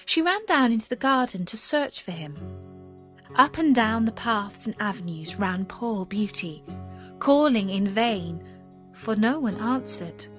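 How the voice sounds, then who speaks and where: A woman narrating a scripted fairy tale as a storyteller, measured and expressive. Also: a little high, faint music behind.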